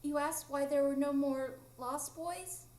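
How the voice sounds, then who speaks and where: Boy adventurer for stage radio drama, played by a woman, high, unhurried, earnest, roomy echo. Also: steady tone, darkish tone. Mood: sorrowful. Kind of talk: live radio drama acting. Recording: echoey live theatre room.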